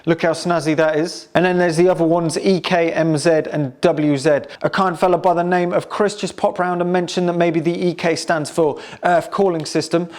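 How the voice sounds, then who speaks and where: A man's voice: a little high, flat delivery, moderate pace, hardly any pauses, darkish tone. A British man giving a casual, enthusiastic, chatty hands-on demonstration as he presents a restoration project.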